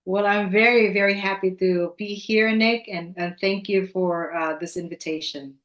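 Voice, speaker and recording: A middle-aged woman from the Philippines, giving an earnest personal testimony in a measured, sincere way. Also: mid-range voice, steady tone, a bit bright, lo-fi sound. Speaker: guest, elementary teacher. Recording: echoey room.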